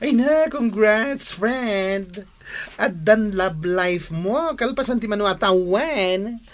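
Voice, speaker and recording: A woman's voice: mid-range voice, expressive, slow. An actress with an Ilocano accent playing a chatty, teasing young female friend in a radio drama. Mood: teasing, pleased.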